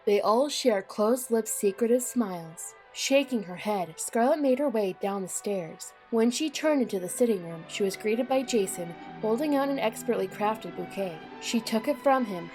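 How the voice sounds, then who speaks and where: A woman's voice: on the high side, animated, moderate pace, hardly any pauses, over music, low-quality recording. A woman narrating a scripted story as the narrator of an audio drama.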